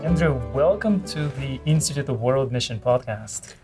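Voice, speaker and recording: A man's voice: mid-range voice, animated, moderate pace, mellow, soft background music, poor audio. A man hosting an interview podcast, speaking directly to listeners in a welcoming manner.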